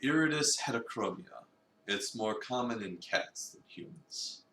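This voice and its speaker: A man voicing a brooding, theatrical, eccentric cat cafe owner in a visual novel, speaking in a measured, solemn, formal way. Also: medium pitch, animated, pushed and bright. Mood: matter-of-fact. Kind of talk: dramatic reading of a visual novel. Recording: echoey room, distant mic, noisy.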